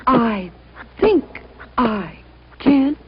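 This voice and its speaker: A woman's voice: a little high, very animated, drawn-out words, muffled recording, slight background noise, full character voice. A woman voicing a small, cheerful, determined female steam engine in a storybook, chanting with puffing effort. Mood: determined, straining.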